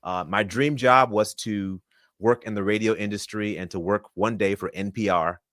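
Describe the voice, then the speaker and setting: Man in his thirties, low voice, dry close mic, upbeat polished podcast guest. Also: normal speed, a bit bright, dry recording. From Florida, USA. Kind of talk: conversational interview answers.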